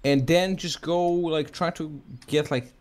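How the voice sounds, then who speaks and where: A man's voice: a little high, steady tone, a little slow, slight background noise. A man in casual video game banter with friends, giving instructions and cursing at them.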